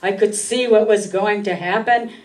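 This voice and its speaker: A woman's voice: mid-range voice, fairly even intonation, moderate pace, natural voice. A woman playing a despairing aging family servant in old-time radio drama style, melodramatic and pleading. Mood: desperate. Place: live stage reading in a meeting room. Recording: distant mic in a meeting hall.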